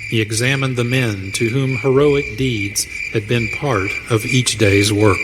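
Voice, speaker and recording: Guy narrating old west radio play, medium pitch, dramatic, lots of cricket and effects noise. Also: steady tone, measured pace. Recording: very noisy, effects behind voice.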